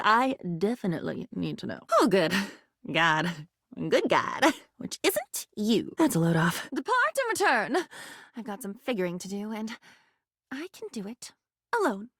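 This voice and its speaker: Sentient diary in a video game, female, very animated pitch, close dry sound, intense, whimsical, theatrical stop-start musing. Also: dry recording. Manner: theatrical, halting, poetic musing with sudden bursts. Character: sentient diary woman, intense, whimsical, newly self-aware. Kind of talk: video game character voice acting.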